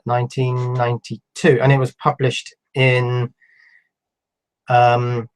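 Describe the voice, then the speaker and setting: A man in his fifties with a cold, reading out viewer questions on a live stream and answering them in a relaxed, halting way. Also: medium pitch, low-quality recording. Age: 50s. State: has a cold. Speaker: electronics YouTuber answering live chat. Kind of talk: casual live stream chat.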